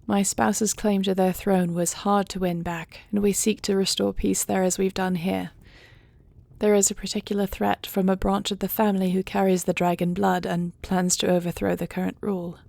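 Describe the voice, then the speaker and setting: Woman acting as earnest, creaky-voiced fantasy queen. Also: medium pitch, level tone, darkish tone, no echo. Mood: formal, measured. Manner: formal, deliberate phrasing. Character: dutiful dragon-shifter queen, earnest and measured, gracious monarch. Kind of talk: fantasy audio roleplay acting.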